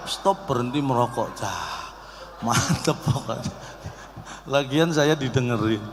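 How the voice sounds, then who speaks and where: A man's voice: mid-range voice, slow pace. A man, giving a joking, conversational humorous speech directly to a live audience. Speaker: comic speaker at an organisation's event. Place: large hall event with audience. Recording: echoey hall PA with background noise.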